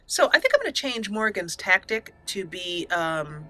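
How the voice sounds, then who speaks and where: A woman's voice: medium pitch, sing-song, normal speed, bright, forceful tone. A woman hosting a let's play, chatting through her gameplay in an upbeat way and reading the game's text aloud as roleplay narration. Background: faint game music.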